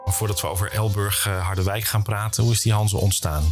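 A man's voice: on the low side, flat delivery, brisk, over loud music. A man hosting a podcast in a friendly, informal, conversational way. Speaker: podcast host.

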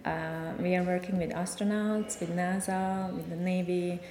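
A woman's voice: lowish voice, flat delivery, moderate pace, darkish tone. A woman from Hungary with a Hungarian accent, answering interview questions about her research with cheerful small laughs and restarts. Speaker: guest scientist. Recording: echoey conference room.

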